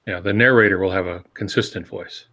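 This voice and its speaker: Older male panelist, lower register, measured explanatory delivery in casual discussion. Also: fairly even intonation, sharp tone. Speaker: podcast panelist and novelist.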